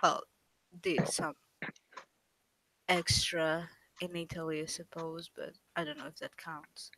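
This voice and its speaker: A woman voicing a wry, measured vampire woman from Paris. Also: sits low, expressive, gravelly, bright, forceful tone, dry recording, full character voice, low-quality recording. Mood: reflective.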